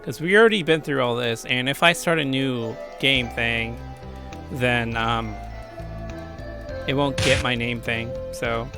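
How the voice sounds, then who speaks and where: A man's voice: mid-range voice, measured pace, music in the background. A man giving casual, rambling let's play commentary with crude joking asides. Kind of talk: casual game commentary and read-aloud.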